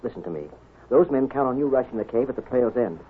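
A man's voice: mid-range voice, lively intonation, fast, a little hiss or hum, close to an everyday voice. A man playing a scheming captured outlaw who pleads urgently for his life in an old-time radio western. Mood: insistent, pleading. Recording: tinny old radio broadcast.

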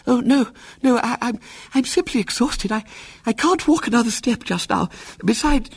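A man voicing a prim, anxious elderly aunt in a breathless, fluttery falsetto. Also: expressive, moderate pace, vocal fry, breathy edge, no echo, exaggerated voice. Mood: fretful.

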